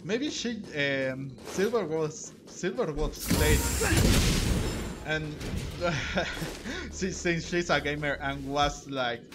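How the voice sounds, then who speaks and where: A man's voice: on the high side, lively intonation, drawn-out words. A man giving casual let's-play commentary, answering the game's dialogue in a hesitant, rambling way. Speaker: gaming video creator. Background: game music.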